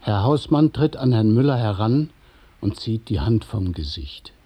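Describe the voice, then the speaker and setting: Dark, husky male radio play narrator. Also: lowish voice, animated, a little slow. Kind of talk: scripted radio play narration of stage actions.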